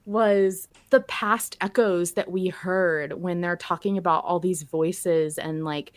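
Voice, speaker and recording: A woman hosting a casual fan podcast, chatting in an animated, storytelling way and swearing casually. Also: fairly low pitch, slow. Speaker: podcast host.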